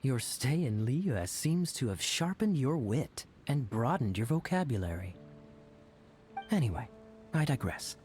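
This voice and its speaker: A man voicing a sly, playful male knight in a video game, who talks smoothly and mockingly. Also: mid-range voice, big pitch swings, a little slow, a little mellow. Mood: teasing. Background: game music.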